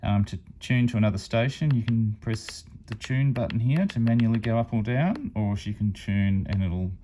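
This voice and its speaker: A man's voice: on the low side, moderate pace, dark tone, slight background noise. A man giving an informal, unscripted step-by-step product demonstration as a hobby reviewer.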